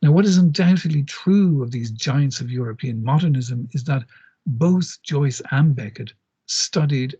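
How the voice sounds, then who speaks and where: A man giving an academic lecture, measured and scholarly, pausing on "uh" and correcting himself as he goes. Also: medium pitch, warm tone, slightly rough, muffled recording. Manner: measured, with frequent "uh" pauses and self-corrections. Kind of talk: academic lecture, partly read. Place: lecture delivered online or in a lecture room.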